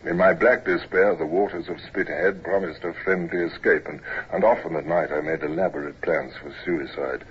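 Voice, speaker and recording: An actor playing a naval officer who narrates his miserable youth in a measured, reflective way, in a 1950s radio drama. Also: sits low, fairly even intonation, lightly acted. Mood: grave, reflective. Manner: measured, reflective, grave storytelling. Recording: muffled, noisy vintage radio recording.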